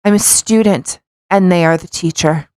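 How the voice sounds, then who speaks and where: A woman's voice: lowish voice, moderate pace, darkish tone, dry recording. A woman co-hosting a podcast, reading aloud mockingly with playful, casual banter and a tendency to stumble over words. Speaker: podcast co-host.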